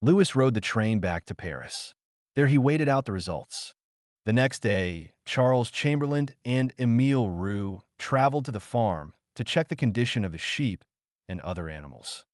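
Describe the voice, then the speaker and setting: A man reading a biography aloud as an audiobook narrator, in an expressive, clearly enunciated storytelling manner. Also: medium pitch, moderate pace, dry recording, studio quality.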